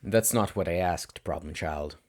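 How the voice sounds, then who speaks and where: A man voicing a weary male teacher, gruff but gentle, who chooses his words carefully in a story reading. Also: low-pitched, voice pushed down. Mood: firm, gentle. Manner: measured, careful, deliberate.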